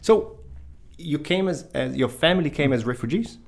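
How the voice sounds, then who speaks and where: A man with an average-pitched voice hosts an interview podcast. He speaks conversationally as he presents the show and talks with his guest. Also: animated, normal speed, creaky. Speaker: podcast host.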